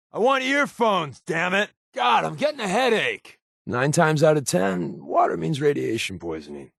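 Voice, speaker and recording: An actor voicing a sardonic, wisecracking secret agent companion in a video game, with a dry, joking manner. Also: male voice, a little high, vocal fry, no room sound, exaggerated voice. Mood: exasperated, then wry, casual. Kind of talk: game voice acting.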